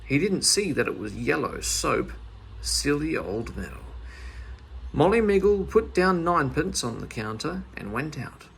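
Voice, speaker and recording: A man narrating a children's story aloud in an expressive storyteller's manner. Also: a little high, measured pace, vocal fry, slightly rough.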